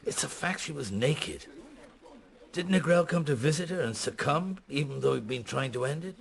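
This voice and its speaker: A man's voice: fairly high pitch, lively intonation, normal speed, vocal fry. An actor playing a pensive middle-aged police detective in a radio drama, musing and hesitant as he mutters his thoughts. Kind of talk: naturalistic radio drama acting.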